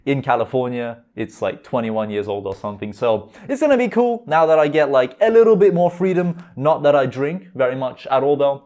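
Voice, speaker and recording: A man of about twenty speaks in a voice pitched a little above average for men. His accent is mostly British, mixed with hints of American and Lithuanian. He talks like a YouTuber answering questions, informal and full of slang and filler words like a chat with mates. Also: a little mellow. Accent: mostly British with slight American and Lithuanian tinge. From Lithuania, later moved to the UK. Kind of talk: casual Q&A vlog chat.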